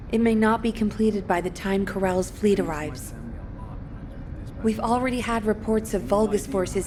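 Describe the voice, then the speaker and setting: A woman's voice: medium pitch, a little mellow, natural voice. Formal female operations commander played by an actress, noisy background. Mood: concerned, resolute. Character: composed, worried female military operations commander. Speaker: mission commander. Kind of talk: video game cutscene acting.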